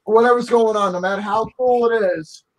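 Man, fairly high voice, casual chat, emphatic advice-giving, little room echo. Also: steady tone, fairly quick. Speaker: podcast co-host.

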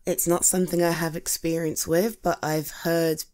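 A woman performs a supportive British friend in an intimate ASMR roleplay. Her voice sits a little low and moves very slowly. She speaks conversationally and haltingly, reassuring with a gentle, teasing touch. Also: level tone, natural voice. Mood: supportive, careful.

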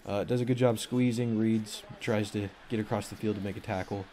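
A young man with a cold and a voice deeper than usual, casually rambling through a football film breakdown with plenty of fillers. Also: fairly even intonation, fairly quick, dark tone. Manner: rambling, offhand, thinks aloud with many fillers.

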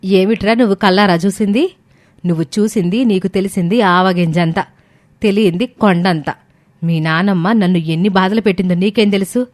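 A woman's voice: medium pitch, moderate pace, a little mellow, lightly acted. A woman acts an aggrieved grandmother in a storybook drama. Her mid-range voice is scolding and plaintive, that of a mother who feels let down by her family. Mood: indignant.

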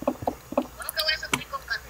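A young woman from Mexico chatting excitedly while playing a game with a friend. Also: normal speed. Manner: excited, teasing. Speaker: co-player. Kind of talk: casual gameplay chat. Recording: very noisy mic.

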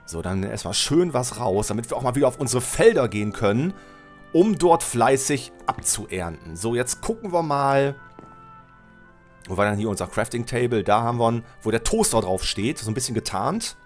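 A middle-aged man with a head cold narrates his gameplay in a chatty, easygoing way, talking straight to the viewer. His voice sits lower than usual from the cold. He thinks out loud and catches his own slips, speaking into a headset mic at home with faint music behind him. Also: sing-song, normal speed. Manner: chatty, thinks aloud, self-correcting, addresses viewer. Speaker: Let's Play host. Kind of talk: casual gameplay commentary. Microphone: headset mic at desk, webcam.

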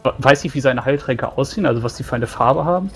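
A man playing a plain-spoken, grumbling dwarf warrior in a tabletop roleplay, speaking haltingly and matter-of-factly. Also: medium pitch, faint music behind, lightly acted. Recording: faint background noise.

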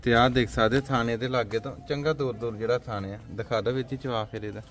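A man's voice: medium pitch, steady tone, fast talker. A man hosting a livestock sale video, with a friendly, promotional manner, talking outdoors in a farmyard. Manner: friendly, brief acknowledgments, promotional. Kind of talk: casual interview.

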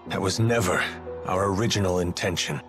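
A man voicing a weary, guarded middle-aged exile in a video game cutscene, explaining things in a measured way. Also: sits low, natural voice. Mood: grave. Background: music.

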